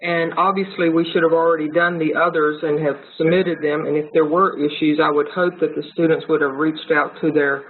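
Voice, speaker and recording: A woman's voice: low-pitched, steady tone, brisk, few pauses. A woman speaks as a student in an online class, in a conversational way. Her audio is thin and narrow, as if coming over a phone line. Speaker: student attending a webinar.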